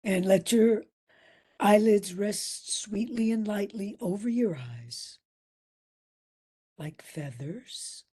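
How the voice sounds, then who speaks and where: A woman leading a guided meditation as instructor, soft and breathy, soothing and gentle, leaving long pauses between phrases. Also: mid-range voice. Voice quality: soft, breathy, at times near whisper.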